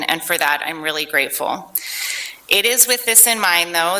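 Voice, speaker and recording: A woman giving earnest, measured public testimony at a city hearing microphone. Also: on the low side, pushed and bright, rough recording. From Lancaster, Pennsylvania resident. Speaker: resident giving public comment. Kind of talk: prepared testimony read aloud.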